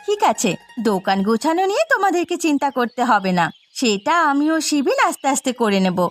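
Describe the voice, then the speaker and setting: A high-voiced friendly village woman. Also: very animated, brisk, faint music behind. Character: friendly, practical village woman proposing help. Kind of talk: Bengali fairy-tale cartoon dubbing.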